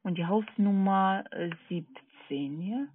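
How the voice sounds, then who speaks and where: A non-native speaking woman with a foreign accent, working as a call center agent, giving a halting, repetitive, polite sales pitch over the phone. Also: a little hiss or hum. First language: not German. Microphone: telephone. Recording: tinny, narrowband phone line.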